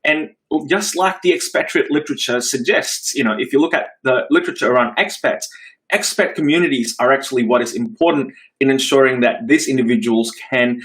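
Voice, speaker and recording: A man's voice: medium pitch, a little fast, a bit bright, rough recording. Man answering in a thoughtful interview. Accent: Australian. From Singapore. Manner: thoughtful, frequent restarts and fillers. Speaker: associate dean, interviewee.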